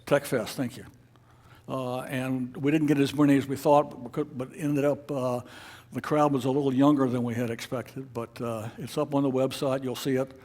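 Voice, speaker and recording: Man giving halting, informal club announcements. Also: mid-range voice, flat delivery, a little mellow. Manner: halting, hesitant, unscripted delivery. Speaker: club officer leading a meeting. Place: club meeting room with an audience.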